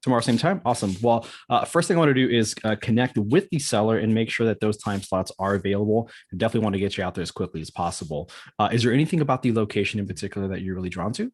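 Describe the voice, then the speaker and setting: A man's voice: lowish voice, very fast, husky. A man speaking as a real estate agent on a practice sales call, politely affirming, with an occasional stammer. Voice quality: occasional stammer, gets hung up on words. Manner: polite, repeats back and affirms often. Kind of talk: role-played phone sales call.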